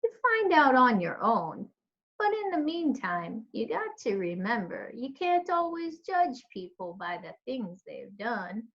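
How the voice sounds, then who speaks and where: Woman reading as a slow, folksy older woman. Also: fairly high pitch, very animated, creaky, muffled recording, exaggerated voice. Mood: gently advising. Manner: folksy, gently admonishing. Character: kindly, regretful older Southern woman with folksy wisdom.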